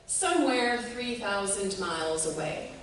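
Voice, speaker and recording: Woman, literary reading, unhurried measured delivery, heavily echoing room, distant mic, faint noise. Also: mid-range voice, expressive, fairly bright tone. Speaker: reader of a short literary piece. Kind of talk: expressive literary reading to an audience. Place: reverberant indoor room.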